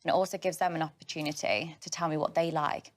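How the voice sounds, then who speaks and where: A woman's voice: sits low, steady tone, quick pace. A woman, answering interview questions in a matter-of-fact way. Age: 20s.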